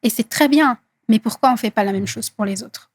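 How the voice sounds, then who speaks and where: A woman in her thirties from Congo whose first language is Swahili, speaking earnestly in an interview with many hesitant pauses. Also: a little high, no room sound. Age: 30s. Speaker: guest activist. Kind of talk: interview conversation.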